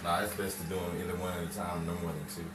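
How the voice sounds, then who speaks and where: A man bantering casually and jokingly during a rehearsal, relaxed and playful in his phrasing. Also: deep voice, racing. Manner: playful, jokey. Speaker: actor in an amateur audio drama. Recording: echoey room, distant mic, clear background noise.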